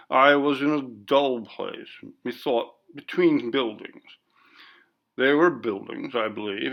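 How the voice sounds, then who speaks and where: A man acts out a bold, pompous gentleman from a storybook ghost tale. He speaks in emphatic, old-fashioned phrases with a gravelly creak, full of bluster and scorn. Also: medium pitch. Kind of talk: dramatised ghost story reading.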